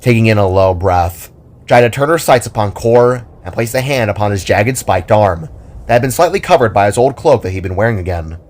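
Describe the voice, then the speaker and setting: A man's voice: lowish voice, lively intonation, a little fast, darkish tone. A man narrating a scripted adventure story in a dramatic style.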